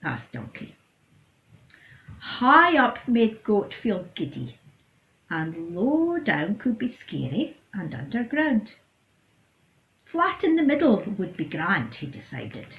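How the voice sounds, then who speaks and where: Slow woman reading a bedtime story. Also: mid-range voice, wildly swooping pitch, a bit bright. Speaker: church storyteller. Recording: roomy echo.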